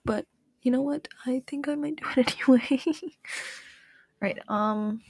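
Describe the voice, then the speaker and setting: A woman in an improvised intimate roleplay, talking softly and affectionately to a partner in a rambling, often breathy, near-whispered way. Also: high voice, a little slow, no echo. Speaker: audio roleplay storyteller.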